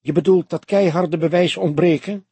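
A man doing an eager, higher-pitched younger detective. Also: steady tone, brisk, dark tone, husky, no room sound, full character voice. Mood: curious, pressing. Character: younger male detective sidekick, curious, eager, questioning. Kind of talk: audiobook character dialogue.

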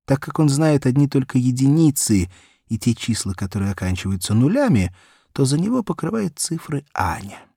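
A man narrating a children's story as an audiobook, reading expressively in deliberate, measured phrases. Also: mid-range voice, sing-song, vocal fry, dark tone, breathy edge, no echo, clean studio sound.

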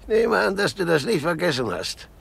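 An actor playing a frail, blind old husband in a radio play, querulous, morbid and wry, with a halting, theatrical delivery. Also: male voice, sits high, very animated, gravelly, a bit bright, breathy edge, heavily acted. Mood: bitter, querulous. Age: older.

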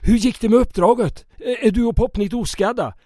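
High-voiced, fussy, anxious mayor. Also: male voice, warm tone, no room sound, studio quality. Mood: relieved, pleased. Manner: nervous, stammering, effusively polite. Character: anxious, fussy male town mayor secretly aiding resistance. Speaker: actor in radio drama. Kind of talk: old-time radio drama acting.

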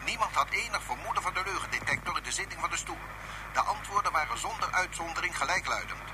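An actor playing a deferential, flustered military aide to a tyrant in a science-fiction radio drama, reporting formally and submissively. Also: male voice, sits high, lively intonation, fast, over music, poor audio. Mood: formal, defensive.

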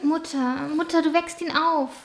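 A woman playing a gentle, dutiful thirteen-year-old daughter in an audio drama, with patient, simple phrasing. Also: high-pitched, fairly even intonation, moderate pace, a little hiss or hum. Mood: pleading.

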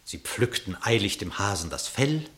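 A man narrating a classic verse fable in a radio play, measured and expressive. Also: on the low side. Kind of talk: scripted storytelling narration in verse.

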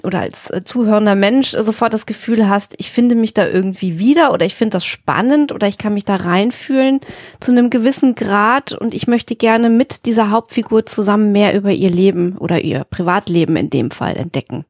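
A woman's voice: mid-range voice, a little fast, darkish tone, dry recording. Woman co-hosting, dry close sound. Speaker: podcast co-host. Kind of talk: conversational podcast discussion.

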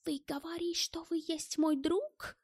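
Женщина озвучивает в аудиокниге пожилого робкого и эмоционального немца, который с немецким акцентом говорит на ломаном языке. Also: female voice, high-pitched, expressive, vocal fry, no room sound, clean studio sound, pitched above the performer's own voice. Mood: plaintive, distrustful. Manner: plaintive, emotional, halting foreign phrasing.

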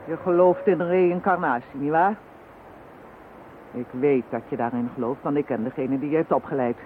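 An actor playing a stern, accusing man in a Dutch radio drama, speaking solemnly and deliberately. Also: a little high, lightly acted. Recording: muffled narrow-band phone-like audio, noisy.

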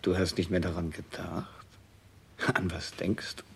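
A man playing a frightened, imperious dying king clinging to life in a 1960s German radio play, with a gravelly, husky, declamatory delivery. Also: low-pitched, flat delivery, a little slow, a little hiss or hum. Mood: wistful questioning.

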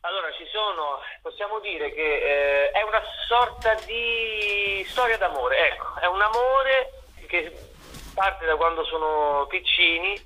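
A man with a bright, forceful voice, slightly high and husky. He chats as an interview guest, playful and ironic, circling back over his phrases as he explains. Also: lively intonation, unhurried, poor audio. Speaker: actor and director, interview guest. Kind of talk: casual radio interview.

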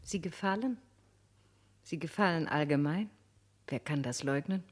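An actress playing an aristocratic society lady in a German radio drama, flattering, amused and calculating, with theatrical, coquettish emphasis. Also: deep voice, measured pace. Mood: flattering, confiding.

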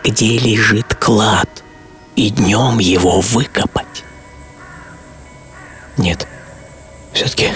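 An actor playing an obsessive middle-aged entrepreneur in a dramatic first-person radio monologue, speaking theatrically with pauses and half-whispered phrases. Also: male voice, fairly low pitch, a bit bright. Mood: hushed, eerie, then frightened. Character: obsessive middle-aged entrepreneur and treasure hunter recalling boyhood. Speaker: author and performer of a radio programme. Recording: music under studio voice.

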